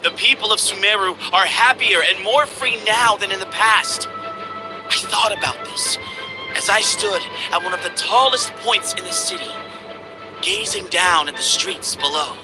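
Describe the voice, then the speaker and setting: A young man voicing an earnest desert temple heir and duelist in a video game, speaking solemnly and deliberately. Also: sits high, music in the background, rough recording. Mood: reflective, sincere. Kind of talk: dramatic English game voice acting.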